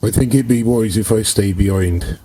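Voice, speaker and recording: A man's voice: fairly low pitch, moderate pace, dark tone, slightly rough. A man speaking in character as a player in a tabletop role-playing game, with some noise and clicks on his recording.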